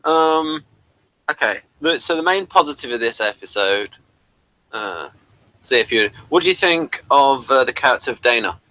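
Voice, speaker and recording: A man's voice: medium pitch, animated, normal speed, bright, forceful tone, no room sound, low-quality recording. A man hosting a casual review discussion. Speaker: host of a review show.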